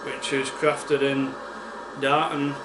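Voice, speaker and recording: A man with a West Yorkshire accent hosting a casual beer-tasting vlog, chatty and unhurried, with plenty of ums and uhs. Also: medium pitch, level tone. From West Yorkshire, England. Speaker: beer reviewer, channel host. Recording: echoey room with air-conditioning hum.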